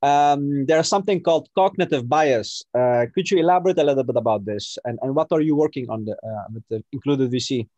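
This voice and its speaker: A man's voice: medium pitch, a little fast, few pauses, darkish tone, no echo, lo-fi sound. A man from Afghanistan moderating an online panel, hesitant with many fillers and restarts.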